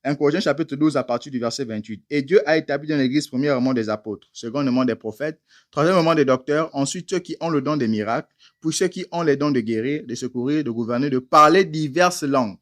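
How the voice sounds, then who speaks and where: Male preacher, average pitch, emphatic teaching sermon with rhetorical questions. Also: fairly quick. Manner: addresses listeners as beloved brothers.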